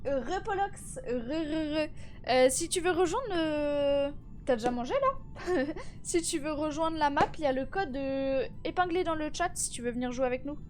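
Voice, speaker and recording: A woman's voice: sits high, animated, normal speed, darkish tone, soft background music. A teenage girl streaming live, chatting casually with viewers; she sounds tired and stuffy from a cold, with lots of fillers and restarts. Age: teens.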